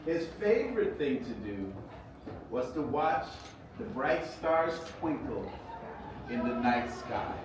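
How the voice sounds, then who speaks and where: A man reading a children's story aloud in a measured, expressive storytelling manner. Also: medium pitch. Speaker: author reading own book aloud. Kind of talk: children's storybook reading with sung hymn passages. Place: echoey indoor event space. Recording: echoey room, distant mic, clear background noise.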